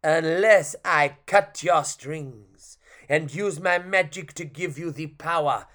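A man's voice: on the high side, slow pace, fairly bright tone. A man voicing a grumpy, theatrical clown puppet with a put-on French accent for a children's story. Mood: dramatic, scheming.

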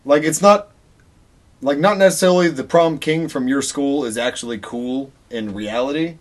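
A man's voice: a little high, normal speed. A man co-hosting a podcast in casual, digressive chat, swearing offhandedly as he rambles. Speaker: podcast co-host.

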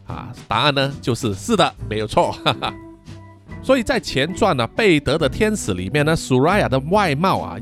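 Animated man hosting a casual podcast. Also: medium pitch. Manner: chuckles often, frequent sentence-final particles. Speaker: podcast host. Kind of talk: casual podcast chat reading and answering listener comments.

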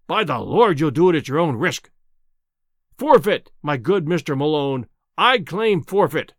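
A man's voice: high voice, dry recording, studio quality, heavily acted. A man voicing a short, stocky, furious scientist who is domineering, pompous and scornful in an audiobook story.

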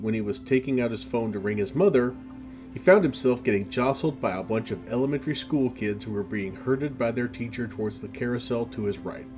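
A man reading a story chapter as a scripted audiobook narration. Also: fairly low pitch. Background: background music with guitar.

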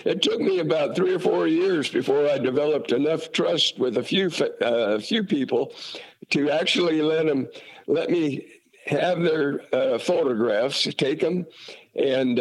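A man's voice: a little high, measured pace, low-quality recording. A man from Utah talking casually about family history, folksy and rambling with many fillers and restarts. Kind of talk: casual conversation.

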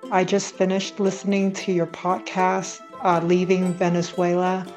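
A woman's voice: lowish voice, completely flat delivery, unhurried, darkish tone, soft background music. A woman from Hong Kong, a native Cantonese speaker, leaving a friendly voice message with small hesitations. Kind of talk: casual voice message.

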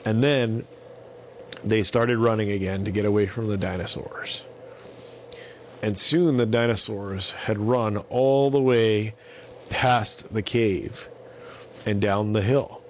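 A man's voice: lowish voice, takes it slowly, long pauses, lo-fi sound, a little hiss or hum. A man telling an improvised bedtime story to small children in simple, repetitive phrases.

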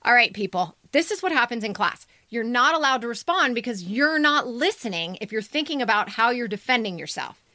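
Bright, blunt American woman, slightly high. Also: animated, brisk, few pauses, no room sound. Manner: blunt, bossy, teasing. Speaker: podcast co-host and editor. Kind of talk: unscripted critique and banter.

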